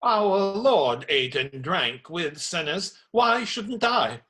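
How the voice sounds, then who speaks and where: An actor playing an earnest, righteous priest who admonishes firmly in a radio play. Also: male voice, high-pitched, very animated, slow pace, sharp tone, full character voice. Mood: calm rebuke.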